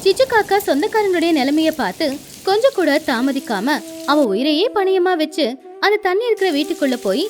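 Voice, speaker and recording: A woman narrating a scripted children's story as an expressive storyteller. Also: high-pitched.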